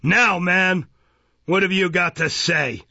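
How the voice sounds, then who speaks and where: A man's voice: on the high side, animated, unhurried, bright, forceful tone, no room sound, dull audio, pitched above the performer's own voice, full character voice. A man voicing an irascible, pompous, bullying police magistrate in an audiobook, barking his words with contempt. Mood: brusque questioning. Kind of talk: dramatised audiobook reading of a classic novel.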